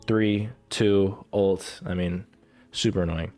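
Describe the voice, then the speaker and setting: A man's voice: low-pitched, steady tone, slow, darkish tone, soft background music. A man giving casual, rambling commentary as a gaming creator, swearing freely and full of fillers and restarts, with a cough as he comes down with a cold. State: getting sick, coughing. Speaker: gaming content creator ranking characters.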